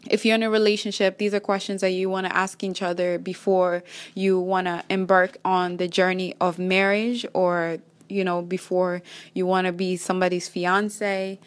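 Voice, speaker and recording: A woman's voice: lowish voice, little pitch movement, moderate pace, smooth. Upbeat Kenyan woman podcast host. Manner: upbeat, encouraging, praise-filled. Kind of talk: faith-based podcast chat.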